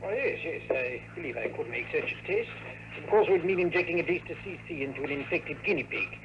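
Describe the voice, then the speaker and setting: A man's voice: fairly high pitch, lively intonation, a little fast, lightly acted. An actor playing a polite, deferential, slightly flustered male laboratory technician in a 1950s radio drama. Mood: obliging. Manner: deferential, eager. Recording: noisy old radio recording.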